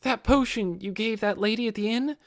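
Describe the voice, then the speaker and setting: A man's voice: high voice, moderate pace, no echo, clean studio sound, pitched above the performer's own voice. A man voicing an earnest, polite, nervous teenage boy in an audiobook, speaking deferentially and apologetically. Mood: apologetic. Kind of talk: audiobook character voice.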